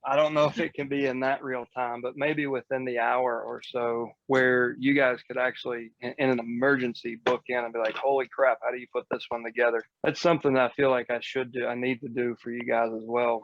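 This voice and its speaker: A man with a noticeably creaky, gravelly voice talks like a coach on a casual group call. He is earnest and colloquial, and the audio is close and dry but slightly dull. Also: medium pitch, little pitch movement, brisk, few pauses, no room sound. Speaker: host and real estate coach.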